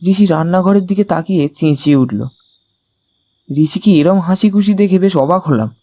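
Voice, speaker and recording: A man telling a detective thriller in the first person, in an expressive, conversational storytelling style. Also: high voice, moderate pace, no echo, lo-fi sound. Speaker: narrator of an audio story.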